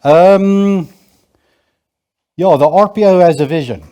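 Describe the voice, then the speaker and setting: A man's voice: sits high, takes it slowly, mellow, clean tone. A man from South Africa facilitating a conference, giving formal opening remarks in an auditorium with hesitant delivery and many filler sounds.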